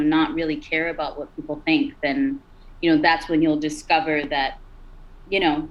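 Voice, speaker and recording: A woman singer with a raspy, rugged voice, chatting as a podcast guest, loose and full of "like" and "you know". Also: sits low, dull audio. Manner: relaxed, many fillers like "like" and "you know". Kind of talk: casual interview talk.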